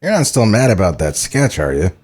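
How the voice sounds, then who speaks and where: Man reading low-voiced, friendly animator guy. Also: sing-song, brisk, darkish tone, breathy edge. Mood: casual, friendly. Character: friendly male cartoon animator, casual and slightly sheepish. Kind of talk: script table read.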